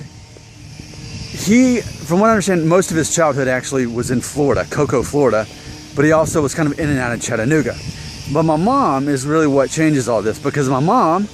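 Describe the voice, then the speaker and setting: A man's voice: on the high side, slight background noise. Chatty man with a mild Southern accent. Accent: mild Southern American. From Alabama, USA. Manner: relaxed, chatty, thinking aloud. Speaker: vlogger. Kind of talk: casual talk to camera.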